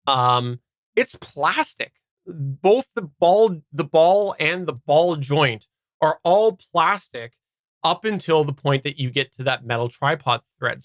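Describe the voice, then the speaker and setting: A man's voice: a little high, a bit bright, no room sound. A man from Canada giving a casual, unscripted product review as a podcast host, explaining in detail and stressing key words.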